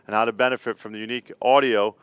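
A man's voice: fairly low pitch, fairly even intonation, very fast, a bit bright, a little hiss or hum. A middle-aged American man presents an instructional product walkthrough, explaining step by step in a plain-spoken way. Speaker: company co-founder presenting product tutorial. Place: indoor studio set.

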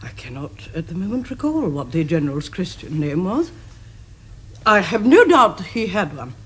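An actress playing a haughty older aristocratic Victorian aunt with an upper-class English accent, stately and withering in a television period comedy. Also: female voice, lowish voice, sing-song, moderate pace, a bit bright, slightly rough, heavily acted. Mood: dignified, evasive. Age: middle-aged.

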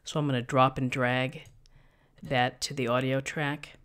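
Middle-aged woman, thin voice, calm step-by-step explaining, desk mic, tutorial host. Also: rumbling low, unhurried. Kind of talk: screen-recorded software tutorial. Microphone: USB desk microphone.

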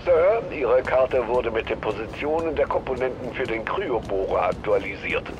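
A man's voice: medium pitch, lively intonation, moderate pace, natural voice. A man playing a polite, dutiful butler in an audio drama, formal and courteous. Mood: helpful. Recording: dull radio-like sound, music behind.

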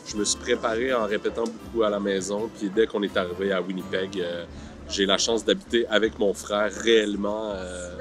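A deep-voiced man answers interview questions in a halting way, pausing often. He is outdoors, with music clearly playing behind him. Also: steady tone. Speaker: actor being interviewed. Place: outdoor park film set.

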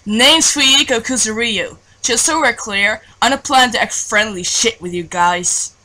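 A woman's voice: a little high, a little slow, sharp tone, rough recording. A woman voicing a curt, hostile young male tough in a game fan dub, blunt and unfriendly. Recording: faint background noise.

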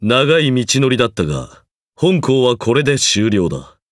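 A man's voice: mid-range voice, very animated, normal speed, no room sound, clean studio sound, close to an everyday voice. An actor playing a stern, pompous magecraft professor in a mobile game, speaking formally and condescendingly while grudgingly encouraging his student. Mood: measured approval. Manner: formal, condescending lecturer's delivery. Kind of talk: scripted game character lines.

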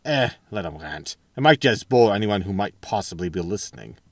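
Man acting a low-voiced, wry space captain. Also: expressive, moderate pace, no echo. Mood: grumbling. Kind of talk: sitcom audio drama acting.

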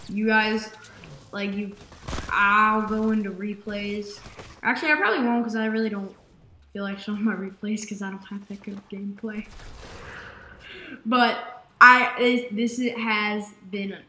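A young woman talks through her gameplay in a bright, slightly raspy voice, piling on fillers as she rambles. The room around her mic is noticeably echoey, with a faint hiss underneath. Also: medium pitch, a little slow, long pauses. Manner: rambling, filler-heavy, excitable. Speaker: gaming YouTuber. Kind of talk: casual gameplay commentary.

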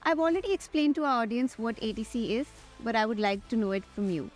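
Higher-pitched young Indian woman presenting. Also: fast. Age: young adult. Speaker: host, airline pilot. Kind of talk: scripted interview hosting and presenting. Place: large glass-walled airport tower room. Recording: music under the voice. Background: background music.

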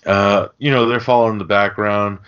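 A man's voice: on the low side, steady tone, moderate pace, fairly bright tone, clean tone. A man hosting a casual podcast, recapping a film in a rambling, profane, joking way. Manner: rambling, crude joking delivery with mimicked lines. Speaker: podcast host. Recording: dull lo-fi podcast audio.

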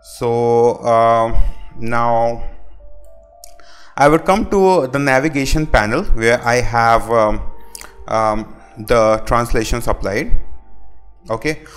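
A man from India whose first language is Hindi, talking through a coding tutorial over his screen and filling his sentences with pauses and restarts. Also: mid-range voice, clean tone, faint music behind. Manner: halting, many "uh" fillers and restarts. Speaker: web developer.

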